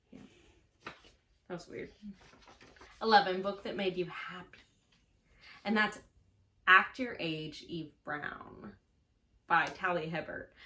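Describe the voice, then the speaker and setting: Young woman, book vlogger, slow pace, mid-pitched voice, casual gushing chat, offbeat dry asides. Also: pushed and bright.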